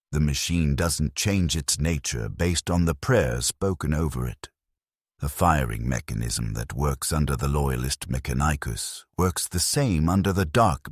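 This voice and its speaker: A man reading scripted first-person science fiction in a measured, grim storytelling manner. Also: a bass voice, breathy edge, no room sound, clean studio sound. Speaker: narrator.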